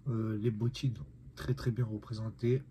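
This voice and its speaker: A man speaks low and rather flat, his nose stuffed up from a cold. He chats casually to his viewers, pausing often with fillers. A faint hiss sits under his mellow voice. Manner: hesitant, many "euh" fillers, speaks to viewers. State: nasal from a head cold. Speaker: figure collector reviewing a figurine. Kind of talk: casual unboxing vlog.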